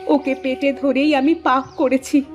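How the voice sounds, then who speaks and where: A woman's voice: high-pitched, flat delivery, unhurried. A woman playing a devoted middle-aged village wife and mother in a cartoon fairy tale, with a plaintive, emotive delivery. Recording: prominent music behind.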